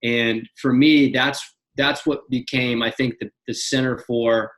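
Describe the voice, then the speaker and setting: A young man in his twenties, a podcast guest talking in an energetic casual way, often repeating and restarting words. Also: medium pitch, measured pace. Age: young adult. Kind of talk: casual interview chat.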